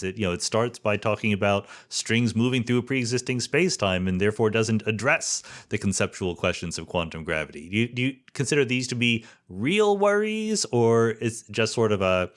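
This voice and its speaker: A man hosting a podcast interview, who asks long, thoughtful questions in a measured way. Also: on the low side. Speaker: podcast host.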